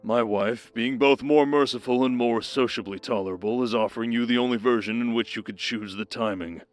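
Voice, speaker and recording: A man's voice: medium pitch, brisk, soft background music, natural voice. A man as a commanding, sardonic baron. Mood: cold, commanding. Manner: wry, authoritative, formal. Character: commanding, sardonic baron, coldly menacing schemer husband. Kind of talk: scripted audio roleplay drama.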